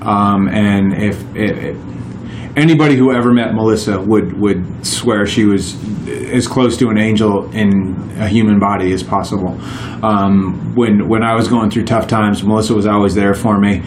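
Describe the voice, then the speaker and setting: A man's voice: on the low side, fairly even intonation, moderate pace, echoey room, noisy background. A man in his forties, a guest on a podcast, telling his stories in a casual, rambling way with many restarts. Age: 40s. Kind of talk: casual chat.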